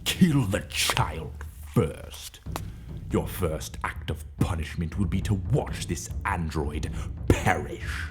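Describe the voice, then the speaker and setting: A man playing a cold, vengeful alien overlord in a radio drama, speaking with slow, drawn-out menace. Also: sits low, expressive, over music. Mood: cruel.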